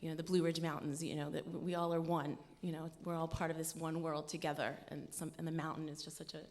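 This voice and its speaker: A woman with a slightly low voice speaks in a hall with a long reverb. She is a musician guesting on a live show, talking informally. Her delivery is earnest and expressive, with casual filler phrases threaded through it. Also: fast talker. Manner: earnest, expressive, conversational with frequent "you know". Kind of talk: informal interview talk. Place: stage of a live radio show.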